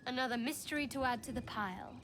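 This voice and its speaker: A woman voicing a guarded, devout young cleric of a dark goddess in a video game, speaking in a cool, measured manner. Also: on the high side, background noise, lightly acted. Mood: uneasy, guarded. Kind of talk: video game dialogue acting.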